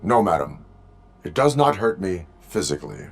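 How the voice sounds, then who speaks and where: An actor playing a stern male cyborg police officer in a game cutscene, with a flat, clipped, mechanical delivery. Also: low-pitched, moderate pace, creaky, exaggerated voice. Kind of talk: video game cutscene acting.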